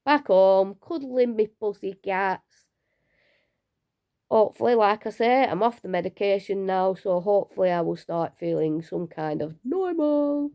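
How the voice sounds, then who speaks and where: A woman's voice: mid-range voice, lively intonation, measured pace, warm tone. Tired chatty woman. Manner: chatty, rambling, self-deprecating with small laughs. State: unwell, low energy. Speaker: vlogger sharing her cancer treatment. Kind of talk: casual personal vlog. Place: at home.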